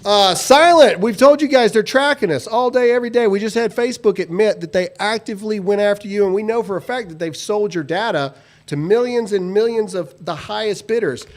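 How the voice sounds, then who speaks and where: Guy hosting a live show, kinda high voice, jokey, lots of uh's. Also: a little fast. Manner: loose, joking, lots of uh fillers. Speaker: host. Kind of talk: casual live show chat with sponsor reads.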